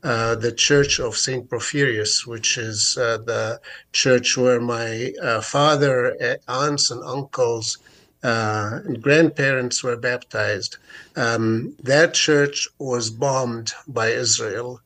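A mature man with a Palestinian Arabic accent, giving an unscripted account in a radio interview in a halting, deliberate way with many hesitations. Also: mid-range voice, fairly even intonation, a bit bright. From Jerusalem, Palestine. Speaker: interview guest, advocate.

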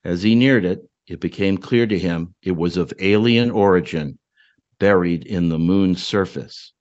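A man's voice: low voice, a little slow, darkish tone. Male podcast host, dramatic reader of his own flash fiction, dull lo-fi sound. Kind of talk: dramatic story reading.

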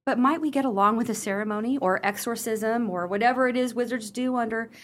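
A woman's voice: on the high side, quick pace, a little hiss or hum, natural voice. A woman acting a sceptical, genteel lady and loyal sister in a radio play, formal and arch. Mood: dryly sarcastic.

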